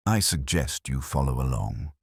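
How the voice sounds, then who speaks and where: A man voicing a calm, methodical police inspector in a mystery story, soft-spoken, formal and even. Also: a bass voice, moderate pace, creaky, breathy edge, no echo, studio quality, full character voice. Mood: formal, firm. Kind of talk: storybook detective dialogue.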